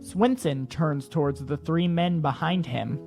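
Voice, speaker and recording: A man with a slightly high voice narrates an audio drama from a script, describing scenes. Music runs clearly behind his reading. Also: a little slow.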